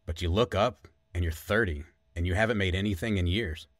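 Man playing low, dry-miked wistful musician. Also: fairly quick, no room sound. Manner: hesitant, reflective. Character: wistful struggling musician and podcaster, male, around thirty.

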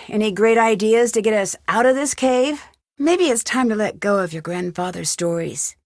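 A woman's voice: fairly high pitch, dry recording, studio quality. An actress voicing a curious, composed young female detective in a video game, asking polite but pointed questions.

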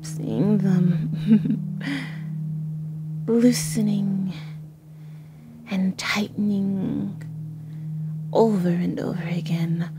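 A woman voicing a possessive, playfully menacing female snake monster in an ASMR roleplay, breathy and often slipping into a whisper. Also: medium pitch, fairly even intonation, very noisy. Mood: excited menace. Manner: slow, teasing, giggling menace. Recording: music under the voice. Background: music.

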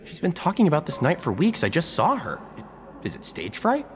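An actor playing an earnest, anxious, quippy young superhero student in an audio drama. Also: male voice, a little high, wildly swooping pitch, a little fast, faint music behind. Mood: worried, urgent. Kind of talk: audio drama acting with inner monologue.

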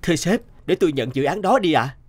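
A man's voice: a little high, expressive, fast talker, soft background music, natural voice. A man voicing a stubborn, earnest young workaholic programmer in a cartoon drama. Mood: eager, pleading.

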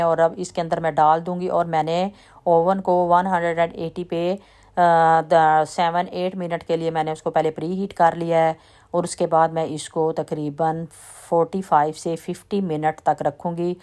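A woman with a slight lilt, chatting in a relaxed way as she walks through a home baking recipe step by step. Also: lowish voice, moderate pace. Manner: relaxed, chatty, trails off softly at sentence ends. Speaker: home cooking vlogger. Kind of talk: casual step-by-step baking talk.

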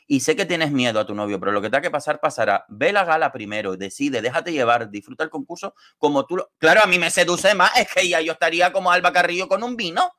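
A man's voice: on the high side, animated, fast talker, hardly any pauses, pushed and bright. A man, giving wordy, gossipy reality-show commentary in casual talk on a video call from home. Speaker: online show co-host and commentator.

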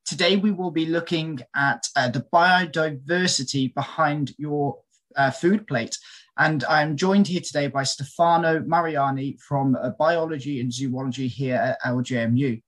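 A man hosting a university online session, introducing the speaker and explaining the format in a brisk announcer's manner. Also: on the high side, fairly bright tone. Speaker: host of university lunchtime sessions.

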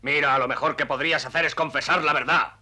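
A man's voice: fairly high pitch, steady tone, fast talker, pushed and bright. An actor in a Spanish cartoon dub playing a sly, suspicious man who hands out mine work, needling and mocking.

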